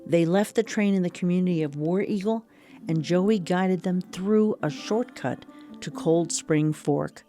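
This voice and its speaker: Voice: medium pitch, measured pace, mellow, soft background music. A narrator with an American accent reading scripted narration for a radio drama.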